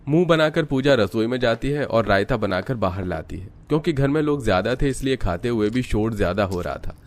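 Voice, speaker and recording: A man narrating a scripted animated family story. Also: medium pitch, a little fast.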